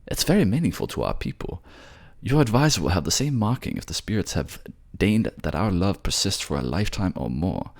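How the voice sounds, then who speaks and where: A kind, courtly prince from a fantasy audio drama, acted out by a man. His voice swings in lively arcs, lifted well above the actor's own low register, as he gently teases and reassures. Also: fairly quick, creaky, hardly any pauses, full character voice. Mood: earnest, explaining. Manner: chatty, courtly, teasing.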